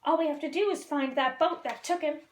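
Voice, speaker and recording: Fretful worried dad fish, a lady reading it out, high voice in an echoey room. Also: fairly quick, lightly acted. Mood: anxious. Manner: fretful, urgent. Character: anxious worried father fish. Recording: roomy echo.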